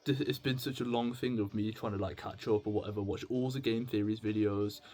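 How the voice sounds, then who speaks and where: A man's voice: fairly low pitch, fairly even intonation, fairly quick, darkish tone. A man in his late teens talking casually and confessionally to camera, rambling and stammering as he thinks aloud. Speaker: video blogger.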